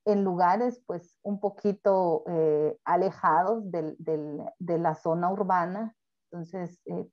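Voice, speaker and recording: A woman, speaking as a panelist in a webinar presentation, earnest and halting with frequent fillers. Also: fairly low pitch, lo-fi sound.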